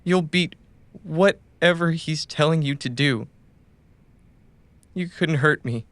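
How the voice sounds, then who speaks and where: An actor playing a wounded, loyal cyberpunk runner in an audio drama, tenderly and haltingly reassuring a companion. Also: male voice, a little high, a bit bright, dry recording. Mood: soft, determined. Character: wounded, loyal male caravan runner gently soothing his companion.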